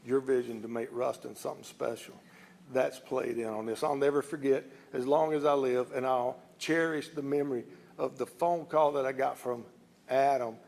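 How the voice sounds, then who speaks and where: A man's voice: mid-range voice, gravelly, a little mellow. A middle-aged or older man with a Southern US accent, an executive giving an informal public speech in a folksy, emphatic, plain-spoken way. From southern United States (Alabama and Louisiana). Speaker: company executive speaking at an announcement.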